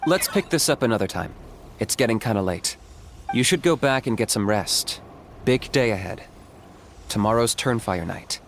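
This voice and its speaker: A man voicing a calm, reserved young hunter who speaks in a measured, matter-of-fact way. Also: mid-range voice, slight background noise, lightly acted.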